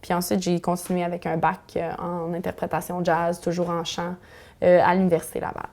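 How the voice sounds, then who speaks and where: A woman's voice: fairly low pitch, completely flat delivery, fairly quick, a little mellow. A young woman singer with a Quebec French accent, English being her first language, chatting casually in an interview with plenty of fillers. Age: young adult. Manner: chatty, full of fillers and restarts.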